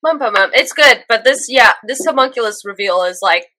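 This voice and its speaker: Playful bright-voiced young woman co-host. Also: a little high, lively intonation, fairly quick. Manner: playful, teasing, leads the episode rundown. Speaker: podcast co-host. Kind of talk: casual chat.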